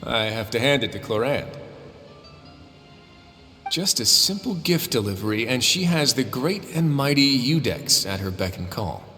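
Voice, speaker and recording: A wry, shrewd male fortress boss from a video game, lowish in pitch with lively swoops. He talks in an easygoing, dryly teasing way, with long reverb around the voice. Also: measured pace, soft background music. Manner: dry, teasing, casual.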